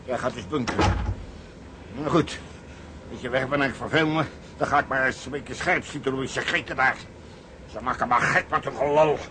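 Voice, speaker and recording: An actor playing an overwrought, foul-mouthed salvage captain near breakdown in a radio drama. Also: male voice, mid-range voice, fairly quick, long pauses, background noise, lightly acted. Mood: bitter. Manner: cursing, pleading, overwrought. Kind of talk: Dutch radio drama acting.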